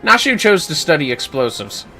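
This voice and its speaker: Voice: medium pitch, big pitch swings, moderate pace, pushed and bright, faint music behind, low-quality recording. A game livestreamer chatting casually while playing, with wry, sweary asides.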